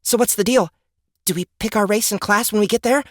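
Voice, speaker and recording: A woman voicing an excitable, cheeky gamer daughter in an audiobook, brash and slangy. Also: medium pitch, a little fast, no room sound, clean studio sound, lightly acted. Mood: eager, cheeky. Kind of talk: audiobook character acting.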